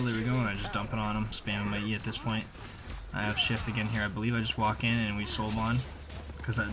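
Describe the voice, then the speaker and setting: Guy with a lowish voice rambling over his gameplay, super casual, gamer slang.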